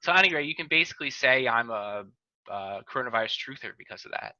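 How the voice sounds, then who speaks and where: A man's voice: mid-range voice, lively intonation, quick pace, bright, forceful tone, no echo. A man hosting a podcast in casual chat over a video call, rambling and correcting himself as he goes. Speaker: podcast host. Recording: dull lo-fi video call audio, dry.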